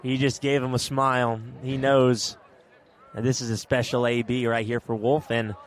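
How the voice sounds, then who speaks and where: A man's voice: mid-range voice, little pitch movement, moderate pace. A man working as a baseball color commentator, explaining plays conversationally at an outdoor ballpark. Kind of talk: analytical sports commentary.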